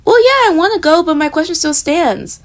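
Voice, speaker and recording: A young woman voicing a flustered, jealous roommate in a romantic audio roleplay, talking straight to the listener and trailing off into soft murmurs. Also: very high-pitched, lively intonation, brisk. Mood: giddy.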